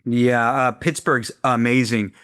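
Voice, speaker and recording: A man with an American accent speaks as a podcast host. His pitch is average for a man. His delivery is informal and effusive, in the style of a relaxed conversational interview. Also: takes it slowly, smooth.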